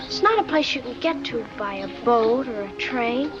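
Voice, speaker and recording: An actress playing a dreamy young girl who longs for a faraway place, in a soft, wistful, half-singing voice, from an old film clip. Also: on the high side, animated, moderate pace, highs cut off, over loud music, lightly acted.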